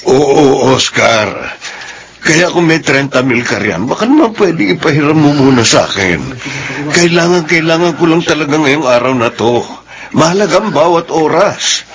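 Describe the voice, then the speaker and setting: A man's voice: fairly high pitch, lively intonation, moderate pace, a crowd in the background. A man playing a troubled, pleading husband and father in a radio drama, speaking earnestly. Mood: urgent, grateful.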